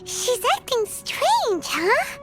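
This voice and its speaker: A tiny floating fairy sidekick for a video game. The voice is extremely high, squeaky and childlike, bouncing excitedly across a wide range, with prominent music behind it. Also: female voice, very slow, full character voice. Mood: puzzled. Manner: exclamatory, talks of self in third person. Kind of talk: video game character dialogue.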